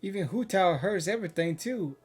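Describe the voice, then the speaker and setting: High-pitched male gaming reaction streamer. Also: expressive, a little fast, husky, soft background music. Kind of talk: casual, off-the-cuff game reaction.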